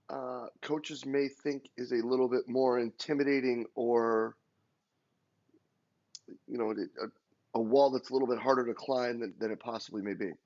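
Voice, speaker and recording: A man's voice: medium pitch, a little mellow. A man hosting a podcast, asking long, winding interview questions. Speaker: podcast host. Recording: dull lo-fi audio.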